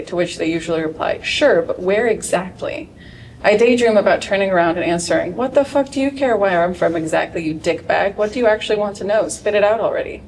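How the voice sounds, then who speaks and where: Woman reading fiction aloud, wry and sharp. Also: lowish voice. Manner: dry, wry delivery with sudden sharp outbursts. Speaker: translator reading her work. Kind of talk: expressive reading of translated fiction. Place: event stage before an audience. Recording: distant mic in a large room, faint noise.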